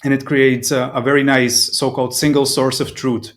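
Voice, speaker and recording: A man's voice: medium pitch, little pitch movement, moderate pace, a bit bright. Man in his thirties. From Serbia. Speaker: interview guest, proptech company representative. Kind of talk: conversational interview answers.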